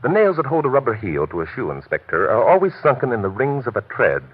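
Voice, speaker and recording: An actor playing an urbane, self-assured amateur detective in an old radio mystery, measured and precise with light amusement. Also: male voice, medium pitch, big pitch swings, husky. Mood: smugly explaining. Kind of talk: 1940s radio mystery acting. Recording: muffled, hissy old radio recording.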